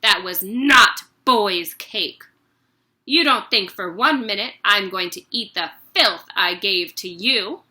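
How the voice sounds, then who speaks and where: A woman voicing a huge, bullying headmistress who barks and shouts insults at a pupil. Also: fairly high pitch, moderate pace, few pauses. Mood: raging.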